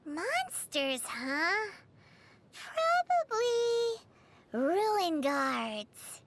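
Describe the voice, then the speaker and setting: Tiny game fairy, extremely high squeaky voice, childlike, excitable, swooping intonation, drawn-out cute delivery. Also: female voice, exaggerated voice. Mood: thoughtful. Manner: refers to self in third person, exaggerated cute delivery. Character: tiny childlike floating fairy companion, female, perky, greedy, excitable. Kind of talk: video game voice acting.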